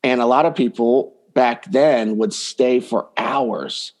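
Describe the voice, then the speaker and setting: A man's voice: mid-range voice, slow pace, darkish tone. A man talking casually and explaining his coaching work as a guest in an interview. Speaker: dating coach, podcast guest.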